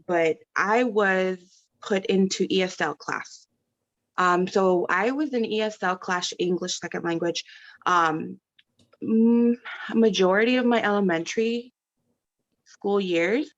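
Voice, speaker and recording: A woman in her thirties from California talks in a chatty, rambling way, often stopping to correct herself. Her voice sits a little low. The call audio sounds dull and lo-fi. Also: a bit bright. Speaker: parent panelist. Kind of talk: casual video-call talk. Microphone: video call.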